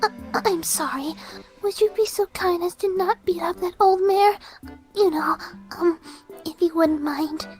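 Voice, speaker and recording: Very high, girlish cartoon pony. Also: a little slow, darkish tone, faint music behind. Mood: shy, gentle. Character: cartoon ponies, girlish and excitable or bashful. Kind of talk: fan audio drama of a cartoon.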